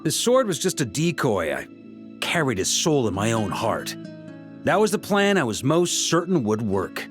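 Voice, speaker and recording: Seasoned knight grandmaster, laid-back, wry, lively intonation, video game dialogue, music behind. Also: male voice, medium pitch, normal speed. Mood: reflective. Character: seasoned, easygoing knight grandmaster home from expedition.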